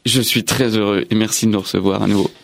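A man from the Paris region, a stage actor, calmly explaining his part as a radio guest. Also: on the low side, fast, creaky. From Paris region, France. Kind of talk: radio interview. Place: radio studio.

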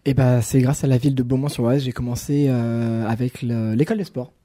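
A man's voice: medium pitch, steady tone, fairly quick, mellow, dry recording, studio quality. A man in his late thirties, a guest answering radio interview questions with many fillers. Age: late 30s. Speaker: guest, climbing club president.

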